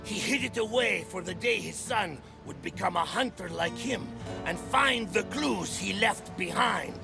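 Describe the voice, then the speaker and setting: A greedy pirate villain for a game cutscene. The voice is heavily gravelly and slow, swinging theatrically as he taunts and threatens. Music plays behind him. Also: high-pitched. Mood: menacing, gloating. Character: gloating greedy male pirate villain with his gang. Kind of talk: video game cutscene acting.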